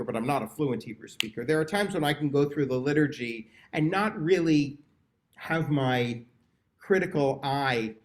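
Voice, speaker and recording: A man leading a prayer service, giving a reflective, hesitant sermon full of pauses and restarts. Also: mid-range voice. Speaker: service leader.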